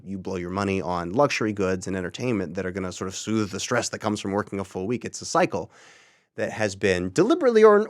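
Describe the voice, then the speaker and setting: Man, interview guest, slightly deep voice, earnest, opinionated, casual discussion, dry close sound. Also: no room sound.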